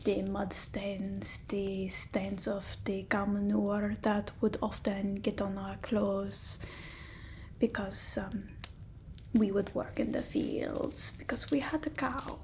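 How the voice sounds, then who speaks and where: Casting: woman performing a theatrical old vampire mistress for ASMR roleplay, soft mellow whispery delivery, unhurried and scornful of humans. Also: mid-range voice, level tone, a little hiss or hum, close to an everyday voice. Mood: nostalgic, indignant. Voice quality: breathy, often whispering. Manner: broken old-world English, dramatic and haughty.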